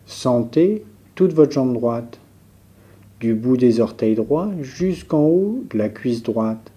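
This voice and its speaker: A man leading a guided yoga nidra relaxation as a teacher, giving calm, measured instructions with pauses. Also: mid-range voice.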